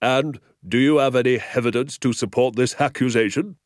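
A man's voice: medium pitch, steady tone, fairly quick, no room sound, studio quality, pitched above the performer's own voice, lightly acted. A man voicing a stern, formal village police sergeant. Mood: firm. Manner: formal, officious, ungrammatical at times.

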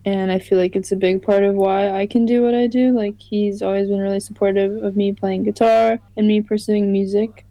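A young woman musician answering interview questions in a relaxed way, with lots of "like" fillers. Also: mid-range voice, a little fast, few pauses, no echo, rough recording. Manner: relaxed, filler-heavy with frequent "like". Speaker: musician guest.

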